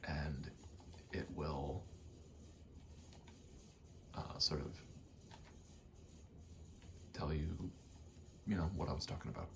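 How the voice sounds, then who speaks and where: A man in his twenties doing a casual ASMR gaming ramble, soft-spoken and often whispering, in a halting, meandering way. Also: extremely low pitch, gravelly. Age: 20s. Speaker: ASMR gaming video host. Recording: faint noise, game button clicks. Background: controller clicking, faint background noise.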